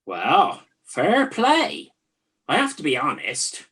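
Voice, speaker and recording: A woman's voice: low voice, wildly swooping pitch, takes it slowly, fairly bright tone, slightly rough, heavily acted. A woman playing a chatty, gullible Trojan soldier in a comic radio play, musing in a wry, conversational way. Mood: earnestly impressed. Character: bored, gullible, chatty Trojan night-watch soldier in a spoof.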